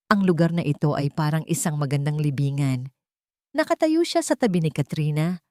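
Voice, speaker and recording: A woman narrating a scripted romantic drama story in short, measured, dramatic phrases. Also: low voice, warm tone, no echo, clean studio sound.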